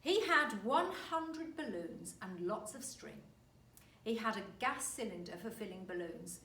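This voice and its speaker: A woman reading a children's story aloud like a teacher, expressive and deliberate. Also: mid-range voice. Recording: roomy, distant mic, faint noise.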